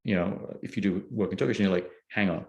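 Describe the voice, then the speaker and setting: Low gravelly man telling stories. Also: quick pace, dull audio. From United Kingdom. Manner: animated anecdotes with acted-out quoted dialogue. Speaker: guest. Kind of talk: casual storytelling interview.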